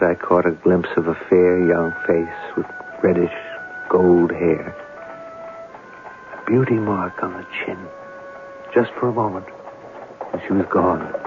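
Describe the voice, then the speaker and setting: An earnest newspaper reporter in a vintage radio drama. His voice is fairly low and deliberate, with a theatrical, romantic streak. The sound is thin and slightly noisy, like an old broadcast. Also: narrow, tinny audio. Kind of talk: 1970s radio drama narration and dialogue.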